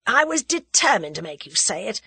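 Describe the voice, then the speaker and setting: A woman's voice: mid-range voice, very animated, brisk, gravelly, sharp tone, slightly rough, dry recording, pitched above the performer's own voice, heavily acted. A woman voicing a bossy, lovestruck young man adventurer in an audiobook, clipped and emphatic. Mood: ardent. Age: young adult. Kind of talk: audiobook character dialogue.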